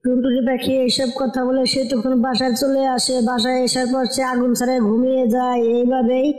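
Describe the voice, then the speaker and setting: Woman storyteller, children's tale narration, mellow tone, little pitch variation. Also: completely flat delivery, fairly quick, roomy sound.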